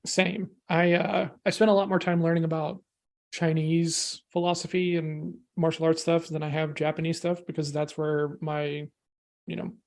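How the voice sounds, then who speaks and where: American man guest, gravelly, monotone delivery, chatty and energetic. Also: a little high, normal speed. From United States. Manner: energetic, rambling, peppers talk with "right?" and "you know". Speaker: podcast guest. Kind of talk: casual discussion.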